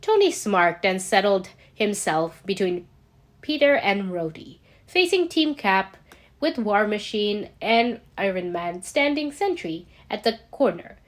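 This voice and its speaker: A woman's voice: mid-range voice, sing-song, measured pace. A woman reading a scripted story aloud as an amateur audiobook narrator. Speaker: amateur audiobook reader of fan fiction.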